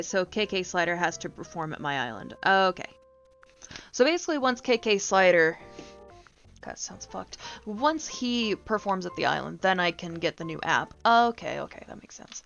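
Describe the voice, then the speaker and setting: A woman's voice: fairly low pitch, lively intonation, moderate pace, creaky, a bit bright, highs cut off. A woman giving casual gameplay commentary, thinking aloud and muttering to herself, with the odd casual swear. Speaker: game streamer. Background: game music.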